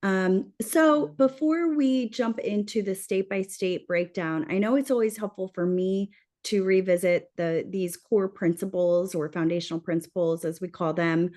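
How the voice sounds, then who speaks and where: Brief: woman co-host of a professional webinar, mid pitch, voice giving out, friendly with fillers. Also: moderate pace, warm tone. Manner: friendly, lightly scripted with fillers. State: losing her voice. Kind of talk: corporate webinar.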